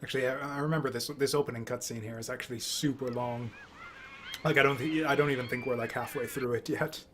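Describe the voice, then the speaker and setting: A man gives offhand live commentary as he streams a game. He talks haltingly and thoughtfully in a mid-range voice, with clearly noisy audio behind him. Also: medium pitch, fairly even intonation, fairly bright tone.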